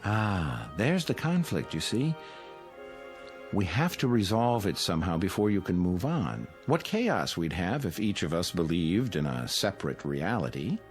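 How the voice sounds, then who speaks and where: Calm institutional psychiatrist, low voice, lilting patronizing phrasing, radio drama, music underneath. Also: male voice. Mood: detached reasoning. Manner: measured, patronizing, intellectual. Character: calm, condescending male psychiatrist at a mental institution. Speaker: actor.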